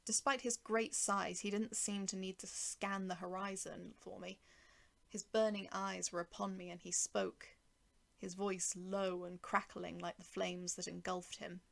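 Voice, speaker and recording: A woman narrating a first-person short story in an expressive, deliberate, dramatic manner with pauses for effect. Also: medium pitch, gravelly.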